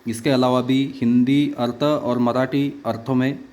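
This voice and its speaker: A male schoolteacher talks through a children's picture lesson. His pitch sits in the ordinary middle range. He speaks in short, halting phrases and often stops on filler sounds. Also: flat delivery, darkish tone. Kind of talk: online class lesson.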